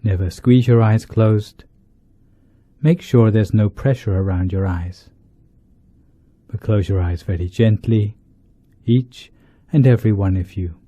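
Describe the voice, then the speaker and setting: A man, a monk leading a guided meditation, speaking in deliberate short phrases with pauses between them. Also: on the low side, warm tone. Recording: dull lo-fi, roomy echo.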